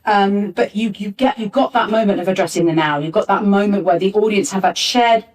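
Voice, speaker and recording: A woman's voice: mid-range voice, a little fast. A woman speaking as a writer on a discussion panel, explaining emphatically and often repeating phrases as she goes. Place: arts centre venue, live panel. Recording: distant backup recording of live panel.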